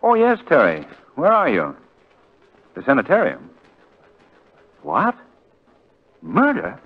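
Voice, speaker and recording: Gentlemanly sleuth, animated medium-pitched voice, polite, dated radio acting, dull old recording. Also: male voice, takes it slowly, husky, full character voice. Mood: brisk, urgent. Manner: polite, urbane, concerned. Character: courteous, composed gentleman sleuth. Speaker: radio drama lead actor. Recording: dull 1940s radio recording, music behind.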